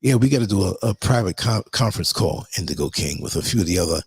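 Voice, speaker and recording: A hoarse man hosting a livestream, reading a list of tips and then rambling casually to his viewers. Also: deep voice, animated, quick pace, darkish tone. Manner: rambling, chatty, addresses viewers as y'all. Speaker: livestream host.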